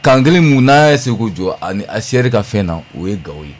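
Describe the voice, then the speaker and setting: A man explaining a point as a guest on a radio panel, animated and mixing languages. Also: lowish voice, a little fast.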